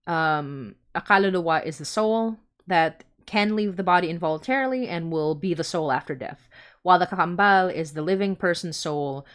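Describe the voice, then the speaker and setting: A woman from the Philippines hosting a livestream, chatting informally and thinking aloud as she explains things. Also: on the low side. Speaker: podcast creator hosting a fundraising livestream. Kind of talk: casual rambling livestream explainer.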